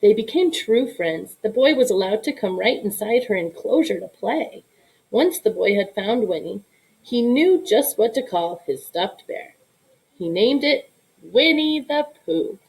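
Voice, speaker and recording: A woman's voice: a little high, lively intonation, a little slow, darkish tone, rough recording. Woman reading a picture book aloud. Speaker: storybook reader.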